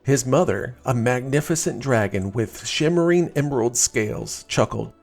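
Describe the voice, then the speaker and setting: A man narrates a children's storybook with a very lively, expressive delivery. His pitch is average for a man and swings widely. Light music plays behind him. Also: moderate pace, creaky.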